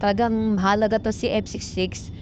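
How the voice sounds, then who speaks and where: A woman with a Filipino accent gives an informal technical tutorial as a vlogger, explaining in a halting, repetitive way with English technical terms mixed in. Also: mid-range voice, flat delivery. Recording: muffled lo-fi audio with background noise.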